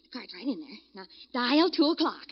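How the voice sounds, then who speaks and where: An American actress playing a sweetly earnest, excitable secretary in a 1940s radio detective comedy-drama. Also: female voice, a little high, a little fast. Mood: eager, earnest. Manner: gushing, naive, excitable. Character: eager, sweetly earnest secretary devoted to her detective boss. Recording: muffled old-time radio recording.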